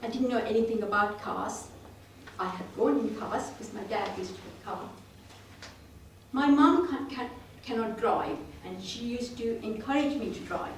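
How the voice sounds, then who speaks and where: A woman's voice: fairly high pitch, slight background noise. A woman from Sri Lanka giving a prepared club speech, telling her story in an earnest, self-deprecating way. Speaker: club speaker. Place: meeting room. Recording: roomy hall, mic at a distance.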